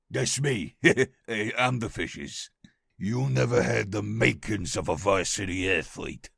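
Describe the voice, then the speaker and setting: A man voicing a cocky, menacing wisecracking mobster fish for a video game, with a gravelly New York accent. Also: mid-range voice, sharp tone, no room sound, clean studio sound, full character voice. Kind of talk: video game character voice lines.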